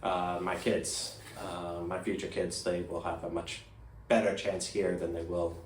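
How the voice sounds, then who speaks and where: An American man giving reflective interview answers in a halting way, thinking aloud as he goes. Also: deep voice, level tone, a little hiss or hum. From United States. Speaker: interviewee, migrant. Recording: echoey room, distant microphone.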